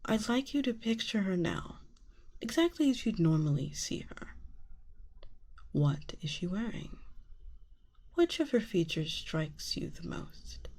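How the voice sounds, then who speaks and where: A woman playing a soothing, subtly manipulative therapist in an ASMR roleplay, coaxing in breathy half-whispers. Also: medium pitch, big pitch swings, unhurried, long pauses, darkish tone. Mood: soothing, hypnotic. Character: soothing, subtly manipulative female therapist guiding hypnotic relaxation.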